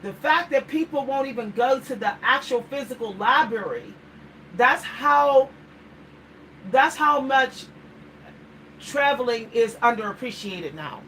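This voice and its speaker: A woman's voice: fairly high pitch, animated, a little slow, a bit bright. A middle-aged woman with a Pittsburgh accent, talking casually to her livestream viewers in a rambling way and often repeating words. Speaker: vlogger. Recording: distant mic, faint background noise.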